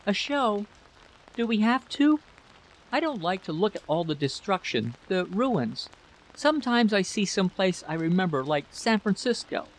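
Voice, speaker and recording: A man voicing a gentle, anxious wife in a story, fretful, hesitant and pleading. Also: sits high, sing-song, unhurried, voice pushed up, rough recording. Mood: worried.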